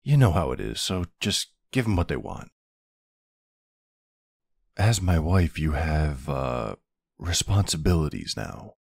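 Male actor as mafia boss husband, gravelly, deep, lively intonation, tender but in charge, ASMR roleplay. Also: slow, pauses often, dry recording, studio quality, heavily acted. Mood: soft, serious. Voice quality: low, gravelly, husky.

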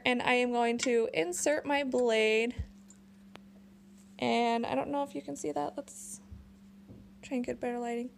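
A woman's voice: fairly high pitch, creaky, slight background noise. Young woman explaining tools in her workshop. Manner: chatty, explains while handling tools. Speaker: woodworking tutorial host. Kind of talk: casual how-to demonstration. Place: small home workshop, indoors. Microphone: camera on the bench, close.

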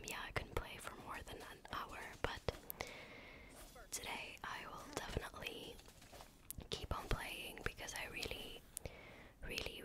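A woman whose first language is French, whispering softly in a chatty ASMR gameplay talk with many fillers. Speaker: gaming ASMR creator. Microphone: desk microphone.

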